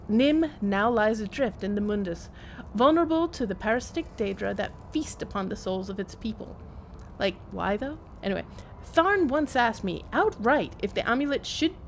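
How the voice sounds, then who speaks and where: A woman's voice: medium pitch, a little hiss or hum. A woman game streamer who reads in-game text aloud and adds casual commentary.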